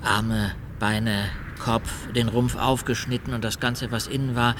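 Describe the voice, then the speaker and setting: A man's voice: mid-range voice, fairly even intonation, unhurried, noisy background, natural voice. An actor in a radio drama playing a male serial killer who confesses to police in clipped, matter-of-fact phrases. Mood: grimly matter-of-fact.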